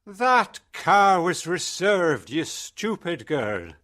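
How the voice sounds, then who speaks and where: An actor plays a red-faced, bullying boss in a dramatised story. He shouts angrily in a high voice that swings widely, stretching his words out very slowly. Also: very animated, heavily acted. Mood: furious. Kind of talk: acted audiobook dialogue.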